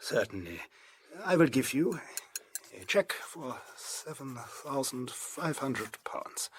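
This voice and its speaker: An actor playing an obsequious, greedy pawnbroker in a period audio drama, fawning and over-polite with flustered hesitations. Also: male voice, mid-range voice, sing-song, slow pace, slightly rough, full character voice. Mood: fawning, eager.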